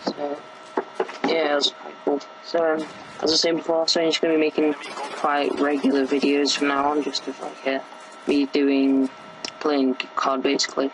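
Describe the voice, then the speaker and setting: Teen boy, sore gravelly throat, flat rambling game commentary, faint hiss behind. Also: on the high side, moderate pace. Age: teens. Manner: rambling, many fillers and restarts. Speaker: gameplay commentator. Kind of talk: casual gameplay commentary.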